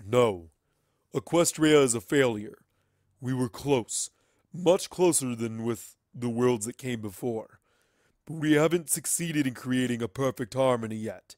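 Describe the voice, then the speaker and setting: A man's voice: mid-range voice, unhurried, darkish tone, no echo, lightly acted. Man performing lofty inhuman entity for fantasy audiobook, detached and condescending, formal diction, dry sound. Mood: lofty, dismissive. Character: cold, lofty otherworldly collective entity speaking through a child. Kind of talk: dramatic fan fiction reading.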